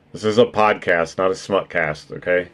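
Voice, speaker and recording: A man with a naturally deep voice hosting a casual stream chat, joking, swearing and laughing often. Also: a little slow, fairly bright tone. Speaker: streamer and podcast host.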